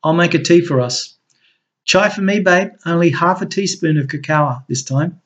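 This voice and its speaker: A man's voice: on the high side, moderate pace, a bit bright, voice pushed up, lightly acted. A man voicing a sweet but sarcastic woman who teases her partner. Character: woman, a man's loving, sharp-tongued partner. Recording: dull lo-fi recording.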